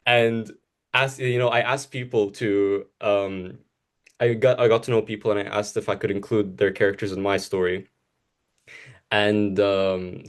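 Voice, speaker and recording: A young man from Egypt whose first language is Arabic, co-hosting a casual chat, laughing often and talking in rambling restarts. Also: fairly low pitch. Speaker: co-host. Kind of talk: casual conversation.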